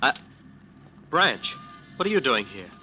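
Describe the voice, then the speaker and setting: An actor playing a smooth-talking, defensive lawyer in a 1940s radio drama. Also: male voice, medium pitch, big pitch swings, unhurried, pushed and bright, faint music behind, full character voice. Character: defensive, self-justifying male lawyer.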